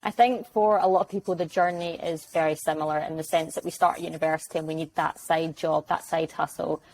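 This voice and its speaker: Glaswegian woman, low, chatty. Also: steady tone, fairly quick, darkish tone, slight background noise, poor audio. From Glasgow, Scotland. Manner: chatty, acts out little quoted exchanges. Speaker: guest, sales development rep. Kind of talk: casual video-call interview. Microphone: video call.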